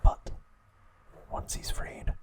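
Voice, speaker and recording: A man hosting ASMR, narrating in a hushed, soothing, breathy whisper at an unhurried pace. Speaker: ASMR host.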